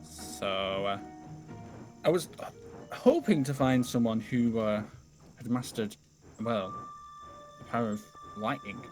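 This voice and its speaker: A man in a casual, improvised Minecraft roleplay chat, speaking in a hesitant, low-key way. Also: lively intonation, takes it slowly, long pauses, low-quality recording. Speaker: guest roleplayer in gaming video. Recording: music under the voice. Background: game music.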